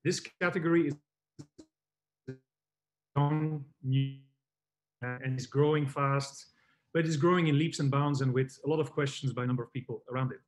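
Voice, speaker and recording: A man moderating a webinar panel, measured, linking remarks and passing questions between guests. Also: mid-range voice, fairly even intonation.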